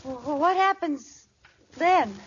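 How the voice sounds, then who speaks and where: High-voiced, alarmed radio sitcom wife. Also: female voice, expressive, slow pace, highs cut off. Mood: alarmed, gullible. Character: scheming wife feigning illness, growing gullible and alarmed. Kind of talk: 1940s radio comedy acting. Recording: noisy old radio recording, loud studio audience.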